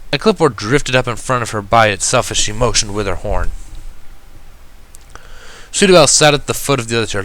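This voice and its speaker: Male amateur story reader, sharp bright tone, mid-pitched, expressive first-person narration. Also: poor audio. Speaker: fan fiction reader.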